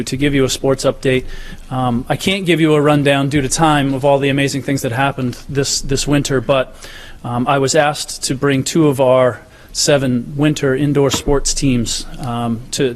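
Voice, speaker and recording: Man at podium, announcement style, flat even delivery. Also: mid-range voice. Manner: proud, steady, says he has a loud voice. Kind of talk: public address. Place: large meeting room.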